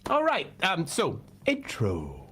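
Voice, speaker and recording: Slightly croaky man, casual and emphatic. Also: fairly high pitch, wildly swooping pitch, takes it slowly, sharp tone. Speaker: host. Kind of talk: casual fan talk and explanation.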